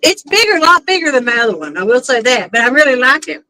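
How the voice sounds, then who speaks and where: A woman chatting casually on a pontoon boat while fishing, easygoing and quick to laugh. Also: high-pitched, big pitch swings, pushed and bright. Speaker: fishing streamer. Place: outdoors on a pontoon boat on a lake.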